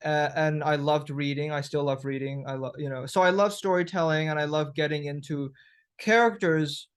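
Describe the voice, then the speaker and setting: A man from Thailand speaks as a guest in an interview. His voice sits a little high. He thinks aloud, pausing and restarting as he searches for words. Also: steady tone, smooth. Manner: thoughtful, hesitant, many restarts. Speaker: guest musician.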